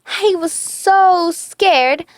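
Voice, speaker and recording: A woman voicing a childlike, excitable male imaginary-friend creature from a storybook, with simple exclaiming delivery. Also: very high voice, very slow, no room sound, studio quality, voice pushed up, heavily acted. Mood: frightened.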